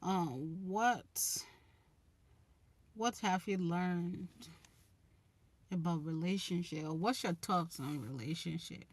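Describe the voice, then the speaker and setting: Slow-talking Liberian woman giving advice. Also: lowish voice, breathy edge, no room sound. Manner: unhurried, restarts phrases, gives earnest advice. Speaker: relationship channel host. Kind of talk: casual live-stream chat answering viewers.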